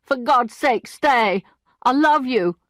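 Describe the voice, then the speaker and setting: A woman's voice: mid-range voice, normal speed, dry recording, clean studio sound, close to an everyday voice. A woman reading an audiobook, voicing a greedy, lecherous middle-aged lawyer who pleads eagerly. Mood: pleading.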